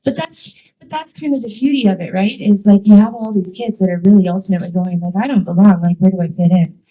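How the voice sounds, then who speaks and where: A woman's voice: mid-range voice, quick pace, mellow, poor audio. A woman leading youth ministry, giving a chatty, enthusiastic talk to a church with many fillers.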